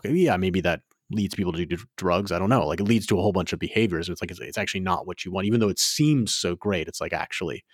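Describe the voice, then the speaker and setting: A man's voice: low-pitched, no room sound, studio quality. A man co-hosting a podcast, talking frankly and rambling, with many restarts. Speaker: podcast co-host. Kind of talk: casual podcast chat.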